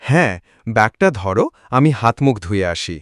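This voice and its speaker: A man's voice: lowish voice, very animated, normal speed, fairly bright tone, no room sound, studio quality. A man playing a worried middle-aged village father in a cartoon fairytale. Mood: gentle. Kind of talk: Bengali cartoon fairytale dialogue.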